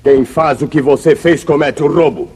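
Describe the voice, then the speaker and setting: A man's voice: on the high side, moderate pace, darkish tone, breathy edge. An actor playing a stern, reproachful father in a Brazilian radio drama of the 1950s. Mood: grave, disappointed. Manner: grave, reproachful, commanding. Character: stern, wronged father of a grown son, a rancher.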